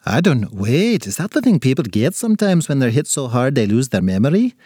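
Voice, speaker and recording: A man's voice: mid-range voice, very animated, a little fast, warm tone, husky, dry recording, clean studio sound. A man voicing a little forgetful, feisty leprechaun with an Irish accent in a storybook tale. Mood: dazed, confused. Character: little red-bearded male leprechaun, forgetful, feisty and chatty.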